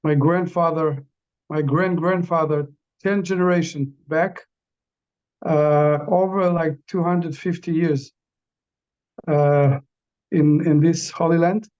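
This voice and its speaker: A middle-aged Israeli man, a native Hebrew speaker, guest in an interview, speaking haltingly in simple phrases. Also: on the high side, steady tone, darkish tone. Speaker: interview guest, bereaved father. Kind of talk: casual conversation. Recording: dull lo-fi sound.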